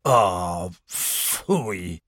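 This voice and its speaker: Grumpy storybook owl, played by a male reader: pompous, blustering, indignant, with animated swings of pitch. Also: slow, gravelly, breathy edge, no echo, clean studio sound, exaggerated voice. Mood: grumbling. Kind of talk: storybook character voice.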